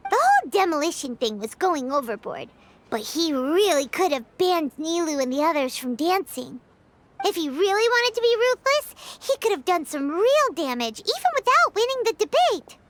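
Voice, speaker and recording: A small floating fairy companion with a very high, squeaky voice. She chatters with lively, bouncing pitch and talks about herself in the third person. Faint noise sits under the game audio. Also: normal speed. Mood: chatty. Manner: chatty and expressive.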